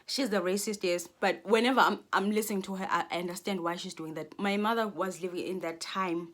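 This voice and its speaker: A woman's voice: mid-range voice, fairly even intonation, a little fast, a bit bright. A Xhosa-speaking South African woman in her twenties, talking to camera in a rambling, earnest monologue full of restarts. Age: 20s. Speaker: vlogger.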